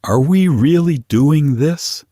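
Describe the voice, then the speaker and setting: A man's voice: a little high, animated, slow pace, warm tone, slightly rough, no room sound, clean studio sound, pitched above the performer's own voice, heavily acted. A man voicing a guarded, independent shop woman in a romance audiobook, wary and plain-spoken. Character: guarded, independent woman running a dry cleaner. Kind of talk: romance audiobook character dialogue.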